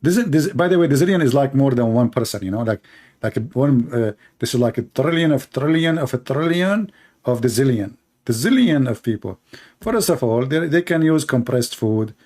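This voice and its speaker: A man's voice: medium pitch, expressive, normal speed, darkish tone. A man, hosting a commentary show and mocking video clips in a sarcastic, rambling way with many fillers.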